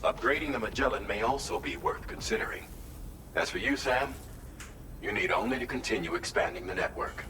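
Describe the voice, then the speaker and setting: A measured male leader from a video game cutscene, played by an actor. His low voice is formal and deliberate, set back in an echoey space with music behind it. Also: lightly acted. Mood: calm, cautious. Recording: echoey, distant, music underneath.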